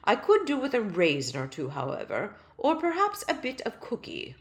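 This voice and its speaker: A woman voicing a tiny, pompous, elderly male doll king who talks slowly, loudly and with stately gloom. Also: on the high side, wildly swooping pitch, pitched above the performer's own voice. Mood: dignified. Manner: slow, loud, stately and mournful.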